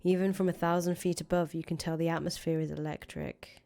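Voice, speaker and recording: A woman with a low-pitched, fairly mellow voice narrates a visual novel aloud. Her delivery is measured and deliberate, staying within a narrow range of pitch, with the occasional stumble as she reads. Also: level tone, gravelly. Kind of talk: dramatic narration read aloud in a playthrough.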